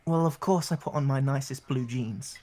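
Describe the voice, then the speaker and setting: A woman, a voice actor, chatting casually with dry, halting jokes. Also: very deep voice, dark tone. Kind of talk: casual joking chat.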